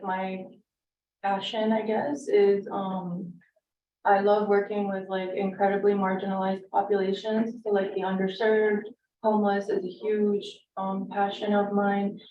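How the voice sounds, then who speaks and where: A woman's voice: medium pitch, a little hiss or hum. A Cuban-born woman whose first language is Spanish, introducing herself casually at a public board meeting, with many fillers. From Cuba. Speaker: board member candidate. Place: meeting room. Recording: echoey room, distant mic, dull sound.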